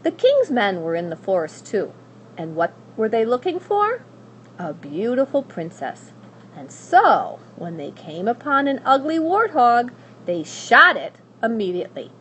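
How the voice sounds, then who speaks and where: A woman narrating a children's story aloud in a dramatic, expressive and deliberate way. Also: a little high, rough recording. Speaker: school staff member reading aloud. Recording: faint background noise.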